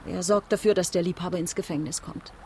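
An actress playing a worldly, bitter French marquise in a radio drama, eloquent, ironic and probing. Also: female voice, lowish voice, steady tone, quick pace, darkish tone, slight background noise, lightly acted. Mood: ironic, provocative. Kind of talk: German radio drama acting.

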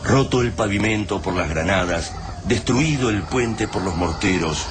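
An Argentine actor narrating a grave war story for a radio drama in a solemn, literary way. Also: male voice, fairly low pitch, animated, a little slow, background noise. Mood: somber. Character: grave narrator of a wartime invasion tale. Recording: music behind the voice.

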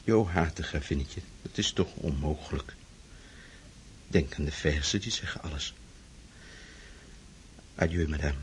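A man's voice: extremely low pitch, a little slow, long pauses, a little hiss or hum. A man playing an earnest, lovelorn captain in a radio drama, speaking with humble, courtly feeling. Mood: humbly lovelorn.

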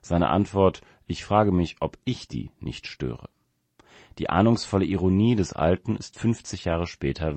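Male presenter for a written essay, low and dark voice, very dry sound. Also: dry recording. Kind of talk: scripted commentary read aloud.

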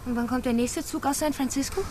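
A woman's voice: high voice, steady tone, fast, warm tone, a little hiss or hum, natural voice. An actress in a radio drama playing an anxious, devoted young Indian woman who pleads and stammers. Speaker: traveler.

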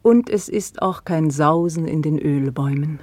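An actress playing a young widow who speaks slowly and often in a whisper, in a radio play. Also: female voice, sits low, no room sound. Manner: slow, hushed, often whispering. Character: Ines, young widow, estranged, bitter. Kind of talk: radio drama acting.

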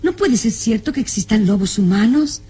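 A high-voiced, frightened young noblewoman. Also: expressive, normal speed, mellow, slightly rough. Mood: anxious, self-reassuring. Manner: hesitant, breathless exclamations and anxious repetitions. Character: frightened, beautiful young noblewoman struggling to reason away fear. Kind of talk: old Latin American radio serial melodrama. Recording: music behind the voice.

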